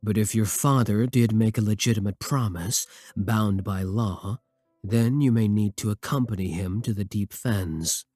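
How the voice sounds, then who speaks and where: A devoted vampire king, acted by a man, low and husky, speaks with formal courtesy and intimate tenderness. His delivery is slow and measured, recorded dry and close for romantic ASMR roleplay. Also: a little mellow, no echo, soft background music. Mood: solemn, loving.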